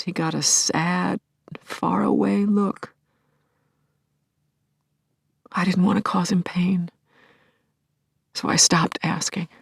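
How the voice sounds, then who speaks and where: A woman playing a middle-aged grieving widow in an audio play, telling her story as an intimate, expressive and reflective monologue. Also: lowish voice, gravelly, slightly rough, no echo, clean studio sound, exaggerated voice. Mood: wistful, subdued. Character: grieving widowed scientist, wistful, wry, tender, anxious. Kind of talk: intimate dramatic first-person monologue.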